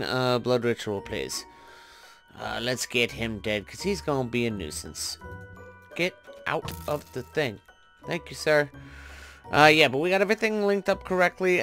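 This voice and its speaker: A man's voice: fairly high pitch, a bit bright. A man hosting a gaming video, giving casual commentary and often questioning himself as he thinks aloud. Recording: music behind the voice. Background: background music.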